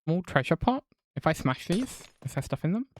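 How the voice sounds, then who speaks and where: A woman's voice: low voice, sing-song, brisk, a little hiss or hum. A woman with a mixed southern and northern English accent, chatting casually while streaming a game and swearing now and then. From England. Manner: chatty, swears casually, reads chat aloud.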